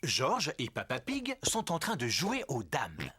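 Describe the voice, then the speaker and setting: A woman narrating a children's cartoon as a calm, friendly storybook narrator with simple, clear statements. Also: a bass voice, measured pace, very noisy, heavily acted.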